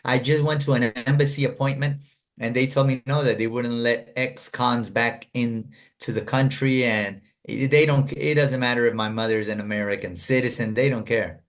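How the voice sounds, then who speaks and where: A man's voice: medium pitch, steady tone, moderate pace. A middle-aged man from Colombia, a guest telling his life story in an earnest, rambling way, full of fillers and restarts, over a video call.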